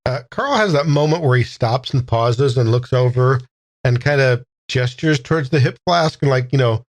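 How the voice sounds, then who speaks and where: Male tabletop player with a mid-range voice, casual, halting character narration. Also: mid-range voice. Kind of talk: casual third-person narration of his character.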